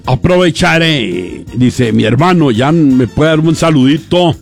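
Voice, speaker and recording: A man's voice: a little high, animated, measured pace, gravelly, soft background music. A man, co-hosting a radio comedy show and telling jokes in an easygoing, hesitant way. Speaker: radio comedy show co-host and joke teller. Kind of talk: casual radio banter and joke telling.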